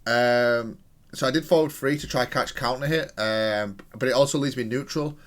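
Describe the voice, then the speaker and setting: A man's voice: medium pitch, normal speed. A man giving casual gameplay commentary, analytical and thinking aloud as he corrects himself while reviewing his own play. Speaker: video game player and content creator.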